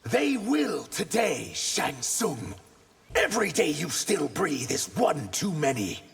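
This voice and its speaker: Vengeful reptile warrior, game dialogue, gravelly, slow and deliberate, intense, music behind. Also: male voice, on the high side, very animated, heavily acted. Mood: bitter, angry. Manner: intense, dramatic, defiant. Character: earnest, vengeful reptilian humanoid warrior loyal to an empress. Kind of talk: video game character dialogue.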